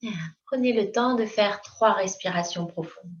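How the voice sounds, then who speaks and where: A woman teaching yoga, giving calm, unhurried guidance in short phrases. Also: medium pitch. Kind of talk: guided yoga and relaxation instruction. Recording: roomy, distant mic, dull sound.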